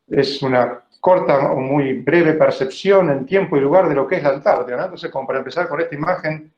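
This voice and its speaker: Argentine man lecturing, roomy dull call audio. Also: medium pitch. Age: 50s. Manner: conversational, often checking in with "¿no?". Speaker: biologist giving a talk. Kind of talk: informal illustrated lecture with personal recollections. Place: indoor room, online video call. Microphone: video call microphone.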